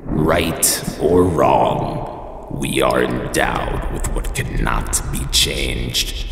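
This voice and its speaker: A man giving an ominous closing narration as the host of a radio drama, in a deep gravelly voice. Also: drawn-out words, background noise. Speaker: show host. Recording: echoey hall with thunder behind.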